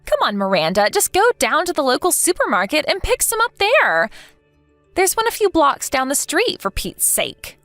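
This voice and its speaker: A woman's voice: sits high, huge pitch swings, moderate pace, fairly bright tone, dry recording, soft background music, heavily acted. A woman playing a smug, taunting young mistress in a dramatized story, sneering and condescending to her rival. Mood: mock sympathy. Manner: mocking, gloating, condescending.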